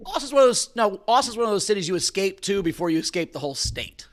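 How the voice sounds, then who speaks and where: A man's voice: high-pitched, big pitch swings, fast, fairly bright tone. A man co-hosting a comedy show, introducing guests and riffing on rambling tangents. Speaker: comedy podcast co-host. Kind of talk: casual comedic banter.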